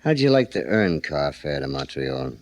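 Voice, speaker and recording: A man playing a tough, smooth-talking, commanding drug smuggler in a 1940s radio drama, with terse tough-guy slang. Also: very deep voice, big pitch swings, normal speed, dry recording, heavily acted. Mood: gruff, coaxing.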